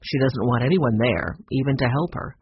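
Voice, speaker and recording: Narrator putting on a low, slow elderly grandfather. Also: expressive, breathy edge, no echo, dull audio. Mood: resigned. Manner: slow, measured, gentle. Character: kindly, dignified elderly grandfather.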